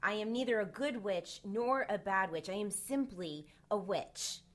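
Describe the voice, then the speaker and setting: A mid-pitched female voice from an actress for an icy young witch in an audio drama. She is curt and sarcastic, with measured, defiant answers. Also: a bit bright, natural voice. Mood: guarded. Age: 20s.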